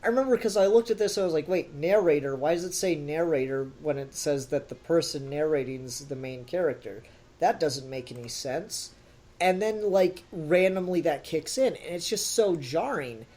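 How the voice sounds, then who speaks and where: A man's voice: on the high side, lively intonation, moderate pace, a little mellow. A man co-hosting a review podcast, talking casually and critically in an emphatic, opinionated way.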